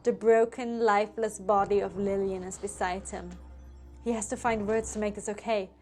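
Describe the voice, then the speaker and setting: A woman's voice: medium pitch, steady tone, moderate pace, darkish tone. A woman storyteller reading a scripted tale in a theatrical, suspenseful manner. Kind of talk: dramatic scripted story narration. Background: music.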